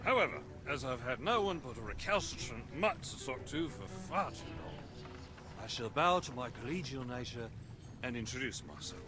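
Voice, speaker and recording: A man's voice: mid-range voice, lively intonation, normal speed, natural voice. A man playing a witty, roguish pirate captain in a video game, with sly, flowery, theatrical phrasing. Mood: sly, chatty. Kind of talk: video game character acting. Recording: game music behind the voice.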